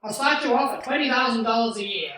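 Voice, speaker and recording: Radio drama villain: wily old male banker, played by a man, sharp, blustering and smug in tone, distant and very reverberant stage sound. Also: extremely high pitch, lively intonation, fast talker, full character voice. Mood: persuasive. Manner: condescending, wheedling, blustering. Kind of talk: 1940s radio play acting. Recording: distant, very echoey stage hall.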